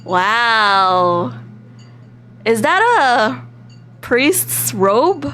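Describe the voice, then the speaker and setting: Very high-voiced, animated woman streamer. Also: drawn-out words, slight background noise. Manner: excitable, giggly, expressive reactions. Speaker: livestream host. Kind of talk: casual live game stream with read-aloud and reactions.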